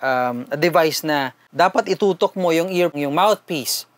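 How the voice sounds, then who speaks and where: Man, Filipino accent, slightly high-pitched voice, dry close sound, informal vlogger, chatty unboxing delivery, switches between languages. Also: measured pace, no room sound. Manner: conversational, addresses viewers informally. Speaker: vlogger, product reviewer. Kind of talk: casual unboxing and product review, switching between two languages.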